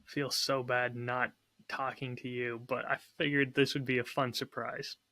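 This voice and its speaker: A man's voice: medium pitch, level tone, normal speed, bright, forceful tone. A man chatting casually and informally as a podcast co-host. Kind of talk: casual chat.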